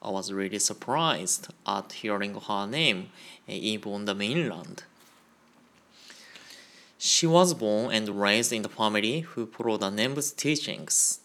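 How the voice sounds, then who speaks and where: A man giving a Buddhist Dharma talk on a radio program, in a slow, measured and careful delivery. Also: lowish voice, lively intonation, fairly bright tone. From Japan. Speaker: Buddhist minister.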